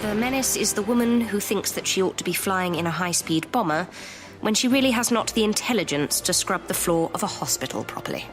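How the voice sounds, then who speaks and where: An actress as a brisk wartime woman pilot in command, speaking with emphatic authority in an audio drama. Her pitch moves in lively sweeps, with music playing behind her. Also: close to an everyday voice. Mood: brisk, firm. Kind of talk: audio drama trailer acting. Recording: trailer music under the voice.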